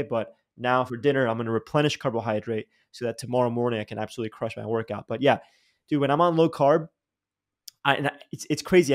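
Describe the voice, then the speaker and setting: A young man hosting a podcast in a loose, animated conversation. He drops casual slang and restarts his sentences often as the thoughts tumble out. His voice sits at an ordinary pitch for a man. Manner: animated, slangy, says dude and bro, many restarts. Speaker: podcast host. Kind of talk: casual interview chat.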